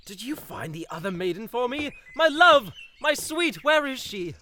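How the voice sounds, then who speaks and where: A man's voice: very high voice, wildly swooping pitch, a little slow, bright, forceful tone, a little hiss or hum, heavily acted. A man playing a melodramatic, lovestruck, oblivious young prince with florid formal phrasing in a comedic audio drama. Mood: melodramatic longing.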